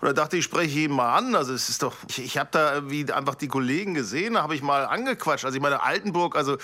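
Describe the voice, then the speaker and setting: A man, a broadcast presenter, talking in an interview in a flustered, halting, apologetic way. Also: a little high, bright, forceful tone. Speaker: radio and TV presenter being interviewed. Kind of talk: spontaneous-sounding interview.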